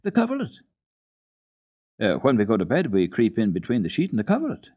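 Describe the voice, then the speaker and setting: An eager, sweet little girl from a radio storybook, acted out by a man, raised high above his own voice. The child is earnest and full of wonder. The recording is muffled and narrow, like an archival broadcast. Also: wildly swooping pitch, fairly quick. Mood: thoughtful.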